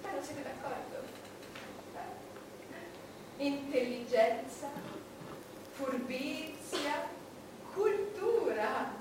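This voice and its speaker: A woman's voice: sits high, exaggerated voice. An actress playing an imperious, scornful, vulgar-tongued Queen of England in a theatrical stage monologue, with a commanding delivery. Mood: mocking. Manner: theatrical, commanding, swinging from hushed menace to loud outbursts. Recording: echoey, distant, noisy stage recording.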